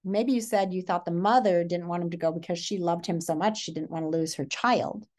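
A middle-aged woman giving a read-aloud lesson as a teacher, speaking with deliberate storytelling delivery and pausing to pose questions. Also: fairly low pitch, darkish tone. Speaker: teacher reading a picture book aloud. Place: small furnished living room or hotel room, indoors.

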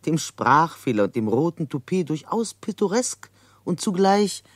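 A man's voice: on the high side, very animated, a little slow, no room sound. A man playing a pondering commentator, reciting in a literary radio play. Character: pondering male commentator turning wordplay over.

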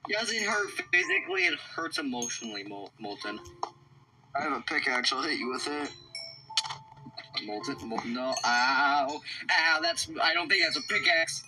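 A man's voice: mid-range voice, lively intonation, moderate pace, noisy background. A man improvising in-character roleplay in a game, with theatrical, teasing banter. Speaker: player in an online roleplay game.

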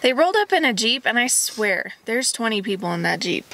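A woman in her thirties, an outdoor vlogger, chatting expressively from inside a car while waiting out a storm. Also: on the high side. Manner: chatty and expressive, quick to laugh. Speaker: outdoor vlog co-host. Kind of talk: casual vlog chat. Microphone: dashboard camera close to her face. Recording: noticeable rain and car noise.

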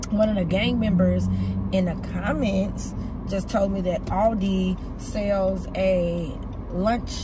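A chatty, upbeat woman in her thirties talks to her viewers from the driver's seat of a parked car. She leans on words and draws them out for emphasis. A phone on the dashboard picks her up over loud car and street noise. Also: medium pitch. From United States. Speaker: vlogger. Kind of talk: casual vlog chat to viewers. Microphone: phone camera on dashboard. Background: loud car and outdoor ambience.

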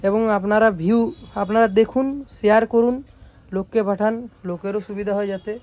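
A man's voice: sits high, level tone, a little fast, darkish tone, muffled recording. A man giving a casual product demonstration for a vlog, with informal sales patter and frequent check-in tags. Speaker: speaker repair shop owner presenting products. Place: small electronics repair shop.

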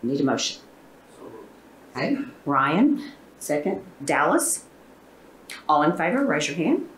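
A woman chairing a school board meeting, reading motions and procedure in a brisk, formal way. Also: deep voice, sing-song, slight background noise. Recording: echoey meeting room.

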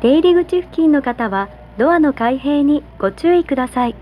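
A woman giving scripted subway announcements in a polite, measured, carefully enunciated way, over a train PA. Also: high-pitched, expressive, highs cut off. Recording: train PA with vehicle noise.

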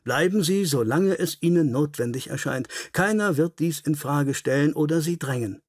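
An earnest, melancholy English lord , played by a male audiobook narrator, gracious and dignified. He speaks with formal sincerity in a husky voice, recorded dry and close. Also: a little high, lively intonation, moderate pace, no room sound. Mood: grateful, gracious.

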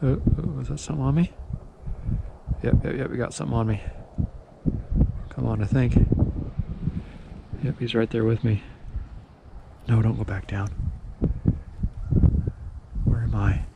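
Excited man ice fishing in loud wind. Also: mid-range voice, a little slow, gravelly, long pauses. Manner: excited outbursts when fish bite. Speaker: fishing vlogger. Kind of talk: casual running commentary while ice fishing. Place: outdoors on a frozen pond in strong wind. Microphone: body-worn action camera. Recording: loud wind noise on the mic.

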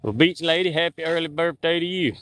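A man's voice: a little high, brisk, a bit bright. A man, chatting casually with viewers while livestreaming on a walk through the woods at night, talking into a phone on a handheld gimbal. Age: 40s. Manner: chatty, folksy, reads out viewer comments. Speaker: outdoors livestreamer. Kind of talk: casual live-stream chat with viewers.

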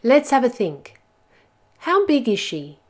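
A woman's voice: a little high, sing-song, takes it slowly, voice pushed up, heavily acted. A woman voicing an eager, helpful but muddled butterfly in a storybook, encouraging and exclamatory. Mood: soothing, helpful. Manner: bright-eyed, encouraging, exclamatory.

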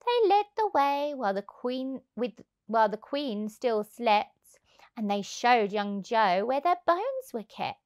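A woman, around her thirties, reading a children's rhyming storybook aloud in an expressive, rhythmic way. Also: on the high side, takes it slowly. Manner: animated, rhythmic, emphatic delivery. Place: indoors on a sofa. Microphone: clip-on lapel mic.

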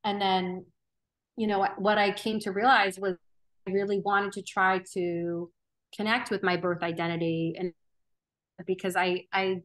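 A woman guest on a podcast, talking earnestly and thoughtfully with frequent pauses and restarts. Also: mid-range voice, little pitch movement, fairly bright tone. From Romania. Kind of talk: reflective interview conversation.

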